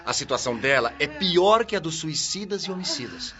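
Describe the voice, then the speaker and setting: A man's voice: fairly high pitch, very animated, a little fast, highs cut off, over music. A man playing a stern, righteous chief watchman of the spirit world in a radio drama, grave and moralizing.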